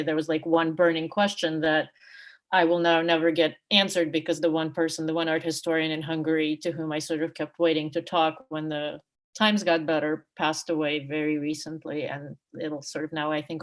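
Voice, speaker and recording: Low-pitched woman, reflective and halting. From Russia. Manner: halting, reflective, with many hedging asides. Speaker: book co-editor and panel speaker. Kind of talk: unscripted academic discussion.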